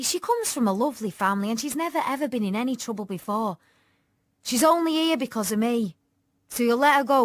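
Woman acting a fierce, high-voiced teenage girl. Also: no room sound, studio quality. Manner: fierce, loud, slangy. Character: defiant streetwise teenage girl, fiercely protective.

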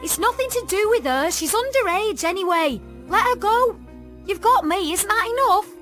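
Woman acting a fierce, high-voiced teenage girl. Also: a little slow, faint music behind. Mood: fierce, indignant. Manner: fierce, loud, slangy. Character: defiant streetwise teenage girl, fiercely protective.

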